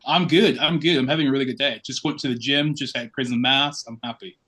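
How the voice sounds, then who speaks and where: A man's voice: medium pitch, steady tone, quick pace, pushed and bright, husky. A man speaks as a guest on a podcast. He tells his story in an open, reflective way, in the easy manner of casual conversation. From Chicago, United States. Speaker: assistant curate, guest. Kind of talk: casual interview talk.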